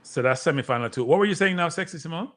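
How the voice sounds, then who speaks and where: A man's voice: fairly high pitch, animated, racing, fairly bright tone, slight background noise. A man hosting a watchalong, giving casual live sports commentary. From Jamaica.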